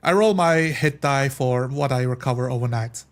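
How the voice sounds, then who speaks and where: A man's voice: mid-range voice, moderate pace. A man chatting casually as a tabletop player, explaining his points and voicing hypothetical thoughts. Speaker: tabletop role-playing player. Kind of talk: casual chat.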